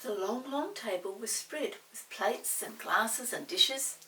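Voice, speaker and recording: A woman's voice: fairly high pitch, lively intonation, slow, bright, forceful tone, distant mic, slight background noise, poor audio. A woman reading a children's story aloud expressively, lingering on words for emphasis.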